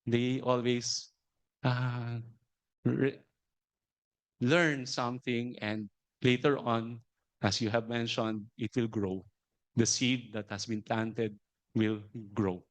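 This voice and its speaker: A man, a priest, giving brief, halting remarks at a conference. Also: mid-range voice, flat delivery.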